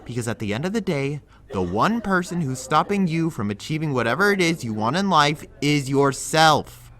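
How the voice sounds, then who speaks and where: A man's voice: fairly high pitch, very animated, normal speed, fairly bright tone. An actor playing a blunt young man who pushes his friend with firm, lecturing tough love in an audio drama.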